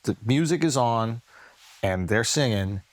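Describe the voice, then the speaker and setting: Animated man, swooping pitch. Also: unhurried, no room sound. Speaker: podcast host. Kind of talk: casual chat.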